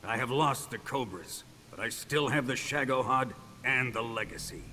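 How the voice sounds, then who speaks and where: An actor playing an arrogant, boastful middle-aged Soviet colonel, a grandiose and domineering villain in a video game cutscene. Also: male voice, medium pitch, normal speed, bright, forceful tone, a little hiss or hum, natural voice.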